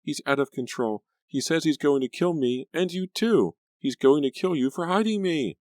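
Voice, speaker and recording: Frantic young woman voiced by a man, high whimpering pleas, dry close sound. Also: animated, moderate pace, darkish tone, dry recording, natural voice. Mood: panicked. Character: panicked young woman, terrified and pleading.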